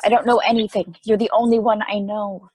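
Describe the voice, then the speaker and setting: A woman's voice: medium pitch, little pitch movement, brisk, a little mellow, husky, no room sound, natural voice. A woman playing a lonely, frightened space probe in a play table read, hesitant and pleading. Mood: lonely, confused.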